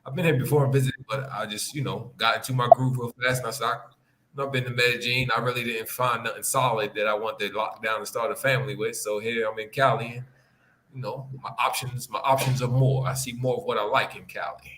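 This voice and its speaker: A man chatting casually as a guest, matter-of-fact and a little halting. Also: medium pitch, fairly even intonation, fairly bright tone. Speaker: podcast guest. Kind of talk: casual conversation. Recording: clicky, noisy remote connection.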